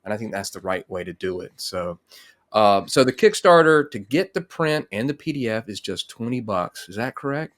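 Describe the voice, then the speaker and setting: A man's voice: fairly low pitch, animated, moderate pace. A middle-aged man hosting a casual interview in a rambling, conversational way. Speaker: interview host. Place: home room with bookshelf, on video call.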